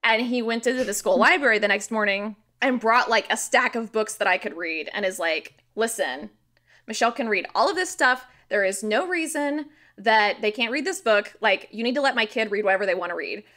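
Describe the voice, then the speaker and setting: A woman's voice: a little high, a little fast. An American woman chatting informally as a podcast guest, telling stories and explaining things in a loose conversational way. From rural Missouri, United States. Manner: informal, animated, frequent "like", sweary. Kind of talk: casual conversational storytelling and explaining.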